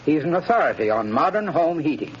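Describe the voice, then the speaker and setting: A man's voice: on the high side, very animated, fairly quick, breathy edge. A man reading a scripted sponsor's message on a 1930s radio show in an earnest, friendly salesman's delivery. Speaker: sponsor's spokesman. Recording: muffled 1930s radio broadcast.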